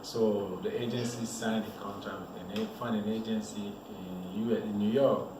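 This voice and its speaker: A man from Cameroon, a model telling his life story in a relaxed, rambling way as an interview guest. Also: fairly low pitch, normal speed. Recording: echoey room, voice from a distance, noisy background.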